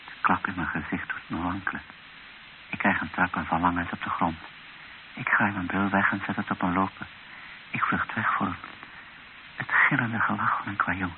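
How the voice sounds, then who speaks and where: Actor as a deep-voiced, weary missionary bishop. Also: male voice, fairly even intonation, lightly acted. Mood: shaken. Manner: reflective, grave, rhetorical, with deliberate pauses. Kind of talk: radio drama acting. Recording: hissy, dull old radio recording.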